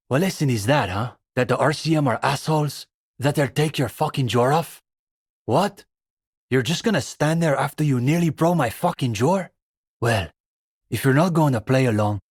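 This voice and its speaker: A man's voice: medium pitch, animated, moderate pace, husky, no room sound, studio quality. An actor playing an earnest, condescending young male student intellectual in a video game, speaking in an articulate, formal and wry manner. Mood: indignant. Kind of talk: scripted video game character dialogue.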